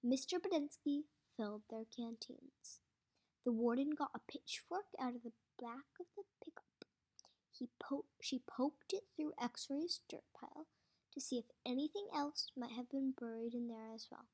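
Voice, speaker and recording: A woman's voice: high voice, unhurried, a little mellow, husky. A woman reading an audiobook as scripted story narration. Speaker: audiobook reader.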